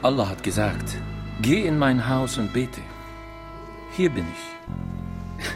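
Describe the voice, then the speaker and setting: A troubled, devout man muses wryly in a mid-range voice with expressive intonation. It is reflective radio drama dialogue with music underneath. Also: mid-range voice, takes it slowly, creaky, full character voice. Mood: brooding. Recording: music behind.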